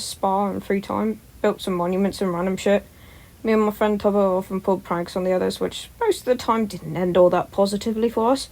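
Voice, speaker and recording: A woman voicing a brash, energetic sixteen-year-old boy who boasts and rambles in an amateur story reading. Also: mid-range voice, natural voice, rough recording. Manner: boastful, rambling, loud when excited.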